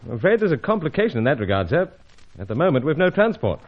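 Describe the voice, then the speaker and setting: A man acting a steady, gentlemanly British secret agent with clipped, composed speech in an old-time radio adventure serial. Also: a little high, fast talker, dull audio, slight background noise. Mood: respectful, businesslike.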